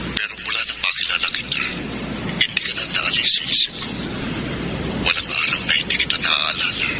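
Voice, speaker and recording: A man's voice: low voice, very noisy, exaggerated voice. A Filipino man playing a smitten, friendly suitor in a radio drama, courting hesitantly. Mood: tender. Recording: radio drama with music behind.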